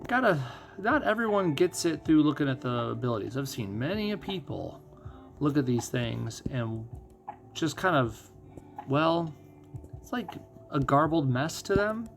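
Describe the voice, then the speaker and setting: A man's voice: fairly high pitch, big pitch swings, measured pace, gravelly, faint music behind. A man doing casual live commentary as a game streamer, explaining things conversationally and thinking aloud.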